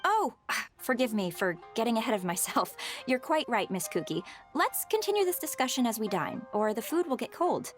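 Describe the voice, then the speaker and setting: A woman voicing a refined, gracious high-ranking official in a video game, polite and formal. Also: very animated, normal speed, faint music behind. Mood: pleased, gracious.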